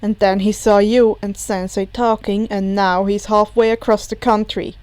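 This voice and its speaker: A woman's voice: mid-range voice, level tone, moderate pace, a little mellow, clean tone, no room sound, voice pushed down, lightly acted. A woman voicing a deferential, weary male villain henchman with a polite, dry manner. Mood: resigned.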